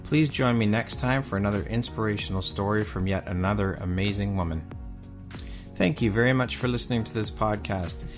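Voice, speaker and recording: A man hosting an interview podcast, speaking in measured, appreciative and rather formal phrases. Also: lowish voice, over music. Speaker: podcast host. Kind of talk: interview hosting with polished outro.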